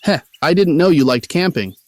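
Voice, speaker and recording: A man acts out a scruffy young artist in an audio drama. His pitch is a little high and swings freely. He talks in a casual, hesitant way, easygoing with some stress underneath. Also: animated, normal speed, close to an everyday voice. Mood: upbeat. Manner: casual, hesitant slangy talk. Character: scruffy, easygoing college-age male artist, a bit stressed.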